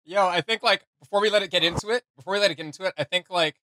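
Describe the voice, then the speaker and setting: A young man chatting casually as a reaction video host, excitable and rambling in his delivery. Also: high-pitched, racing, slight background noise, poor audio. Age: young adult. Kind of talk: casual chat, reacting to game voice lines. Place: small room at home, indoors.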